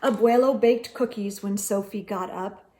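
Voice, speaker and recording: A woman storytime host reading a picture book aloud to children, expressive and emphatic, speaking directly to young listeners. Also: medium pitch, moderate pace.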